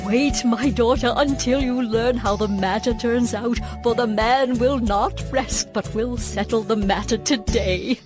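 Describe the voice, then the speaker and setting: Casting: actress as elderly biblical mother-in-law, wise and tender, unhurried, slightly high voice, music beneath. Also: close to an everyday voice. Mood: calm, assured. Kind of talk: dramatized scripture reading.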